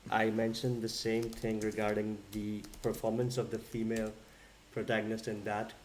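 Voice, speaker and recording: A man co-hosting a song reaction, agreeing warmly and often with his partner before giving his own view. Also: lowish voice, completely flat delivery, moderate pace, slight background noise. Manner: agreeable, frequent affirmations. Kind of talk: casual reaction chat.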